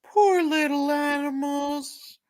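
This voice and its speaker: A man's voice: extremely high pitch, steady tone, takes it slowly, pitched above the performer's own voice. A man voicing a cutesy cartoon baby creature in simple baby talk. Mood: cooing.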